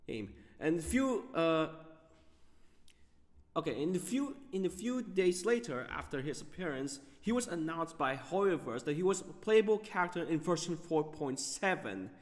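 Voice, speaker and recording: A man hosting a gaming video, giving off-the-cuff commentary in a halting way, often stumbling over his words. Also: a little high, long reverb tail.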